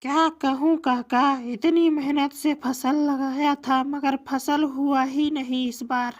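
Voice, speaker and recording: A woman plays a poor young farmer in a moral-story cartoon. The voice is high and quite monotone, respectful and humble, with an earnest, plain delivery. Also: takes it slowly. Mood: sad, pleading.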